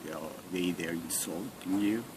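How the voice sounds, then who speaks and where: A man's voice: deep voice, normal speed. A man in his forties from Mexico with a Mexican Spanish accent, a Spanish speaker giving brief, plain answers with restarts in an oral history interview. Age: 40s. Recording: noisy recording with room hiss.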